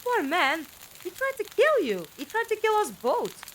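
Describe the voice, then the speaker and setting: A woman playing a defiant young companion in an audio drama, youthful and expressive, with earnest, pointed questioning. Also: very high voice, moderate pace, heavily acted, poor audio. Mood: subdued, doubtful. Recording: background ambience noise.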